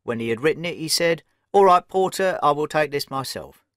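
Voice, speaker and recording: A man's voice: on the high side, lively intonation, a little fast, fairly bright tone, dry recording, pitched above the performer's own voice. Guy doing a humble hotel porter, yes-sir type, dry close sound. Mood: deferential. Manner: polite, eager to help. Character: deferential male hotel day porter.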